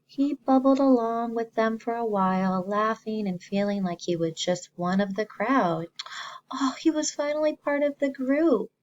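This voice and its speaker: A woman teacher reading a story to young children, expressively, pausing to explain simply and ask the listeners questions. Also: a little high, muffled recording.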